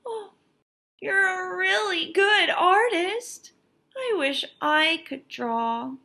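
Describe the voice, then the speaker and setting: Woman playing a timid, high-voiced little boy. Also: animated, drawn-out words, vocal fry, bright, forceful tone, exaggerated voice. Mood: admiring, wistful. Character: timid, admiring little boy who doubts himself.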